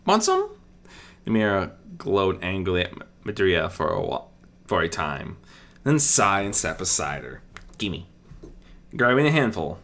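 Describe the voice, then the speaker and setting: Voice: sits low, a bit bright. An amateur storyteller reading fiction expressively and chatting in casual asides.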